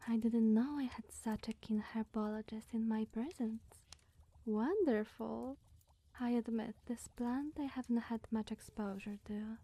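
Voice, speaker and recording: Soft, breathy, slow-talking young witch acted by a woman. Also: fairly high pitch, fairly even intonation. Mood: friendly, chatty. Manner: coy, flustered, rambling with musing hums. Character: sweet, bashful, scatterbrained young female witch. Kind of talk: ASMR roleplay, soft and partly whispered.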